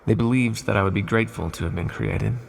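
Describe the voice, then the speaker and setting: An ancient, accursed villain, acted by a man for an ASMR roleplay, speaks in a low voice thick with gravelly fry. The delivery is measured and formal, with hesitant confidences. Menace sits beside a tender, calm temper. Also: a little hiss or hum. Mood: confiding, grave.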